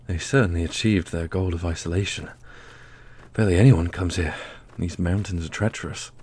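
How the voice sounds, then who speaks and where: A man's voice: sits low, steady tone, a little fast, a little mellow, husky. A man playing an earnest, formal and guarded warrior in a fantasy audio roleplay. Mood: dry.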